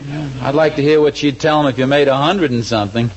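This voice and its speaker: A man's voice: mid-range voice, fast, muffled recording, lightly acted. An actor playing a scheming, mildly exasperated husband and father in an old-time radio comedy, chatty and mock-earnest. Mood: wry grumbling.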